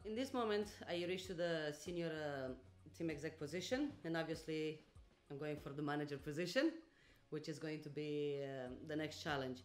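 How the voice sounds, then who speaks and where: A woman's voice: low-pitched, normal speed, echoey room, faint music behind. Upbeat young woman. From Romania. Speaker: team executive. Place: office, seated indoors.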